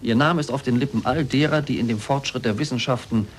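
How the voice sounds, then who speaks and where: Medium-pitched man, acting, cool former student, formal, reproachful, measured, old radio play, faint noise. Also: close to an everyday voice. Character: former pupil of the scientist, male, coolly reproachful. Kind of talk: 1940s German radio drama acting. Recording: noisy 1940s radio recording.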